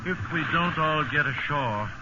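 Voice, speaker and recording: A steady, resigned captain of a small boat gives orders in a somewhat high male voice. The old radio drama recording is dull, with loud sea noise all around him. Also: unhurried. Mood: grim, resigned. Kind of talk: 1950s radio drama acting. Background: loud water and boat noise.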